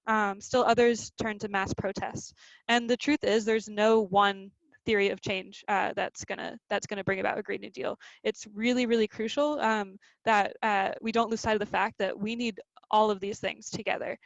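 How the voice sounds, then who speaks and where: Woman, 20, youth organizer on a video call; gravelly, casual presenter, dull dry audio. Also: mid-range voice, fairly even intonation, moderate pace, dry recording. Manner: filler-heavy, loose explanatory talk.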